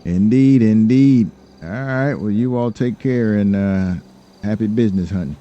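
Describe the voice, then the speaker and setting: Man acting as courteous crime-boss lawyer, deep voice, unhurried hesitant delivery, game roleplay chat, faint background noise. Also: warm tone, lo-fi sound, close to an everyday voice. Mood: relaxed, friendly. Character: polite businesslike male lawyer and underground crime boss. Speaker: gamer playing online roleplay. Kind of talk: improvised in-character roleplay chat.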